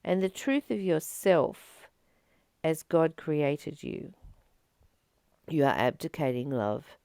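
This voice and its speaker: A woman reading aloud and reflecting on spiritual teachings in a deliberate, emphatic way, with pauses between phrases. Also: deep voice, expressive, gravelly, a little mellow, clean tone, dry recording, studio quality. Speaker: host of a spiritual reading channel.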